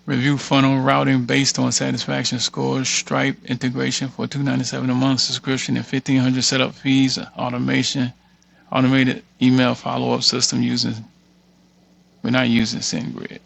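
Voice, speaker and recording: A man's voice: medium pitch, monotone, normal speed, creaky, a bit bright. A man giving an informal screen-share walkthrough, thinking aloud and muttering as he reads text off the screen. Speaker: tutorial creator.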